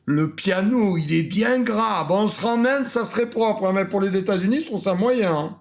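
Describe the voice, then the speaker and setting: High-voiced French man mocking a video. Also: moderate pace. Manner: mocking, laughs often, repeats lines. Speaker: streamer reacting to videos. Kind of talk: casual reaction commentary.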